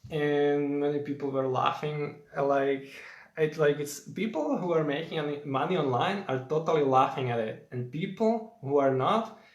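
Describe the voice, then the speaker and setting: A man's voice: a little high, normal speed, reverberant, dull audio. A young man from Slovakia with a Slovak accent, hosting a casual live Q&A in English, chatty and rambling with many fillers as he greets viewers by name. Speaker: host of a live stream.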